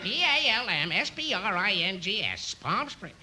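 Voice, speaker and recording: A performer playing a squawky, loud pet parrot who mimics an announcer in an old-time radio comedy. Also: mid-range voice, very animated, normal speed, lightly acted. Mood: agitated mimicry. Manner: parroting, spelling out words letter by letter. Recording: noisy old radio recording.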